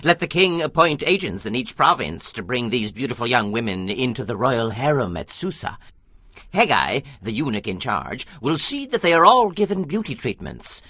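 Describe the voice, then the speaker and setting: Bright, lively royal attendant. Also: male voice, mid-range voice, moderate pace, dry recording. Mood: eager suggesting. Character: eager, obliging male royal attendant to a king. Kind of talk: dramatized audio Bible acting.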